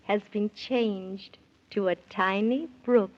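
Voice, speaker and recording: A woman's voice: mid-range voice, slow, a little mellow, lightly acted. An actress playing a gracious fairy queen who speaks formally in rhyme in a 1930s children's radio serial. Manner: formal, rhyming farewells. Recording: muffled 1930s radio recording.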